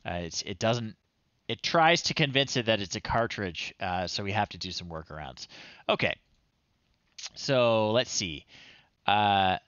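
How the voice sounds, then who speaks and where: A man's voice: on the low side, expressive, measured pace, long pauses, a bit bright, no echo, muffled recording. A man in his thirties giving casual livestream commentary, thinking aloud and muttering in short phrases as he goes. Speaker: livestream host. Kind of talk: casual livestream commentary while trying old software. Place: small room indoors at home.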